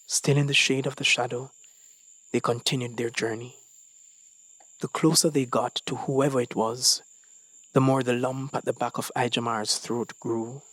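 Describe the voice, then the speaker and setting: A man reading a short story as scripted dramatic narration, with deliberate phrasing and dramatic pauses. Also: medium pitch, flat delivery, a bit bright, no echo, rough recording. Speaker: story reader.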